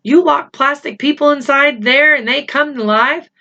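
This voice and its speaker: A woman voicing an excited, impatient young boy, full of eager questions, in a children's storybook reading. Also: sits high, normal speed, lo-fi sound, voice pushed up. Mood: excited, incredulous.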